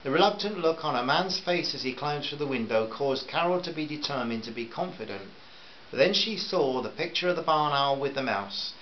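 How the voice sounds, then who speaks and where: A man's voice: fairly high pitch, lively intonation, brisk, highs cut off, slight background noise. A man reading his own novel aloud as a serialized audiobook, in a plain storytelling manner. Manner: plain storytelling, warm sign-off to listeners.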